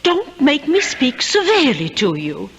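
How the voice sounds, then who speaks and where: An actress playing a genteel ghost wife who gently chides and adores, in a measured 1970s radio drama style. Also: female voice, high-pitched, exaggerated voice. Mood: chiding, coaxing. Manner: measured, wifely, gently flattering. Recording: dull old radio broadcast.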